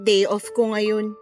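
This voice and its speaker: An actress playing a hesitant, troubled young woman in a Filipino radio drama. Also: medium pitch. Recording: music under the dialogue.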